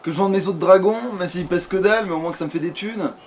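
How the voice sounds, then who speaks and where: A man's voice: sits high, fast talker, a little hiss or hum. A man giving casual, rambling let's play commentary, often swearing coarsely. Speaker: video game streamer.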